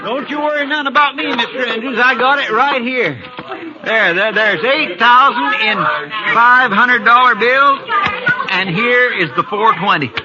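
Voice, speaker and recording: Through a dull old radio recording with a crowd behind, a humble country sidekick. The man's rural American drawl is very high, hesitant and innocently sly. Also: unhurried. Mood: slyly innocent. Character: folksy, humble, slyly innocent male marshal's sidekick. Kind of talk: 1950s radio western acting.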